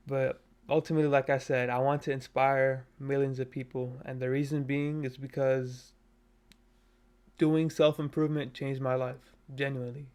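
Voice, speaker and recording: A man in his twenties, from Nepal, talking earnestly and casually on a self-improvement vlog from his bedroom on a cheap desk mic. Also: mid-range voice. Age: 20s. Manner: earnest, self-motivational, loose with fillers. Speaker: vlogger. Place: bedroom at his parents' house. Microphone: cheap USB desk mic.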